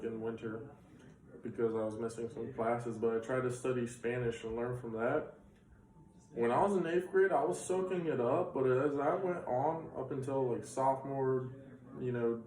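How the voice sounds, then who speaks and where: A man's voice: mid-range voice, a little mellow. A young man in his twenties, born in Bulgaria with Bulgarian as his first language, recalling things casually in an interview, with plenty of fillers. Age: 20s. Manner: rambling, filler-heavy recollection. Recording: roomy sound from a distant mic.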